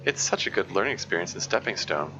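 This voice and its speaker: A man's voice: sits low, quick pace, over music. A man reading aloud the lines of an upbeat teenage girl club president from a game, giving earnest advice. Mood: encouraging. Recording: muffled lo-fi mic with steady hiss.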